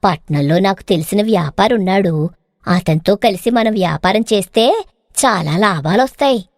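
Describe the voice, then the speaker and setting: A female actor plays a scheming neighbour in a storybook tale. Her voice has a middling pitch and a dry sound. She talks persuasively, as if selling a sure thing. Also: expressive, normal speed, dry recording, close to an everyday voice. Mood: coaxing. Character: scheming village woman luring a neighbour into investment. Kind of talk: acted moral-story dialogue.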